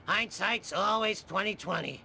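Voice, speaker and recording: A jaded old cartoon clown. The voice is high for a man, heavily gravelled and forceful, rattling off insincere showman patter. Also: unhurried, a little hiss or hum, exaggerated voice. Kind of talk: animated comedy game acting.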